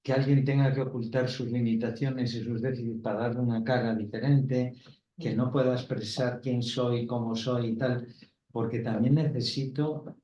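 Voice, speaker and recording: Thoughtful man, mellow voice, echoey room. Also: mid-range voice, fairly even intonation, moderate pace, slight background noise. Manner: thoughtful, hesitant, searching for words. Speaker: panelist, Gestalt therapist. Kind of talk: round-table discussion. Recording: roomy, dull, lo-fi recording.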